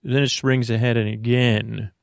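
Male American podcast host for sleep monologue: creaky, dry studio sound, rambling, hesitant, drifting delivery. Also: mid-range voice, little pitch movement, no room sound. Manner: meandering, halting, keeps trailing off. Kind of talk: rambling bedtime monologue to help listeners sleep.

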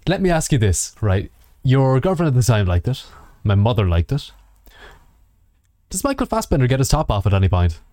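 Male podcast host, lively intonation, dry close sound, sardonic and sweary. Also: mid-range voice, normal speed, no echo. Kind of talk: casual chat about games and films.

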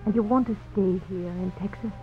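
An actress playing a gentle, devoted sweetheart, soft and formal, in romantic 1950s radio drama style. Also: female voice, medium pitch, steady tone, brisk, a little hiss or hum, natural voice. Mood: tender. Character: gentle, devoted young Spanish-speaking Texan sweetheart. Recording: very dull old radio, loud music.

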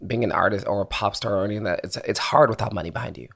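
A man's voice: lowish voice, racing, vocal fry, fairly bright tone. A young man from Philadelphia, a singer-songwriter, talking candidly and casually as an interview guest, laughing easily and swearing now and then.

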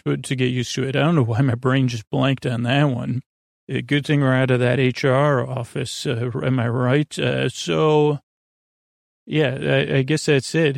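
A man hosting a bedtime podcast, rambling softly and unhurriedly, often trailing off and correcting himself. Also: mid-range voice, vocal fry. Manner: meandering, halting, self-correcting; soft, unhurried and drowsy. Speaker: podcast host.

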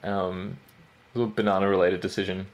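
A man's voice: deep voice, level tone, fairly quick, muffled recording. A young man born in London and raised in Australia, with an Australian accent with a slight British tinge, chatting casually as a guest, laughing often and hesitating with fillers. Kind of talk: casual interview chat.

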